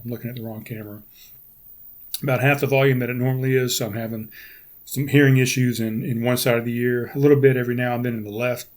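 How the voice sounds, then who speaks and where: A middle-aged man talks casually on his own podcast, his voice raspy and hoarse from congestion. His pitch sits in an ordinary middle range. He rambles, restarts his sentences and keeps clearing his throat. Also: little pitch movement, fast. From United States. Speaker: podcast host. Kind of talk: casual solo podcast talk.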